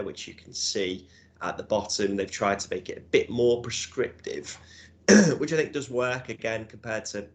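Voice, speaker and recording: A man's voice: low-pitched, creaky, slight background noise. A man with a Scouse accent giving a webinar presentation as an insurance broker, explaining in a conversational way with frequent hedges and "right?" checks.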